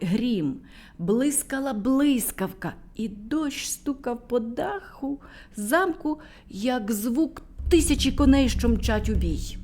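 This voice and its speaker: A woman's voice: on the high side, warm tone. A woman telling a fairy tale to small children in a playful storyteller manner, dropping to a whisper at moments.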